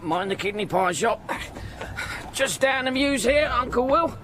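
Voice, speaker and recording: A man's voice: extremely high pitch, expressive, measured pace, fairly bright tone, a little hiss or hum, heavily acted. An actor playing a kindly, poor old ticket porter in a radio drama, stammering and repeating himself as he fusses. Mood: eager, coaxing. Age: older.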